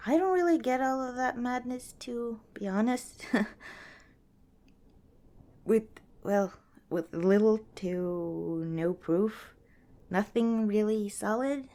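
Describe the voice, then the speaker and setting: Woman acting as a young scavenger girl in a wasteland asmr roleplay, soft mellow voice, unhurried, careful and kind. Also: on the high side. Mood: wry, musing. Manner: soft, hesitant, conversational, with sighs and small laughs. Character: kind, cautious young woman scavenger in a post-apocalyptic world. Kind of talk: ASMR roleplay, spoken to the listener.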